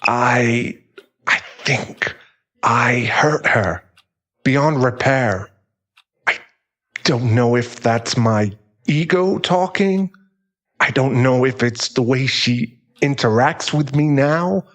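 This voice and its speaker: Guy playing a bitter divorced dad, emotional and halting, slow talker, audio roleplay, sweary. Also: mid-range voice, a bit bright. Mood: remorseful, halting. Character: guilt-ridden divorced husband and father, hot-tempered, bitter.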